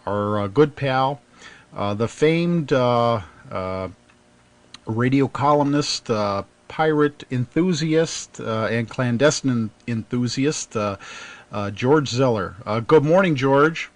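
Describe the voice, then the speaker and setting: Man hosting a netcast, full of uhs. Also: mid-range voice. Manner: halting, many filler pauses. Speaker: host. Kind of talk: live netcast talk show hosting.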